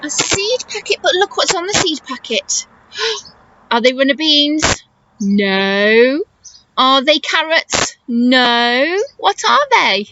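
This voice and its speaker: A woman teacher leads a children's storytime in a high, very animated voice. She speaks slowly and encouragingly, with exaggerated intonation for little ones. The recording is slightly muffled and noisy. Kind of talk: children's storytime read-aloud with questions and explanations.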